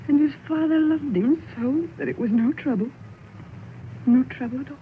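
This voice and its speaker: An actress playing a grieving mother in an old radio play, speaking softly and tearfully. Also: high voice, normal speed, lightly acted. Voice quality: soft, tearful delivery. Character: grieving mother of a poor family. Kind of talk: 1930s radio drama acting. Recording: tinny old radio broadcast with faint hiss.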